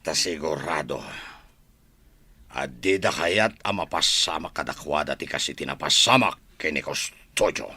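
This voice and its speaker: An actor with an Ilocano accent playing a boastful, villainous provincial governor in a radio drama, gravelly and pompous. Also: male voice, a bass voice, measured pace, pushed and bright, no echo, exaggerated voice. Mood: smug.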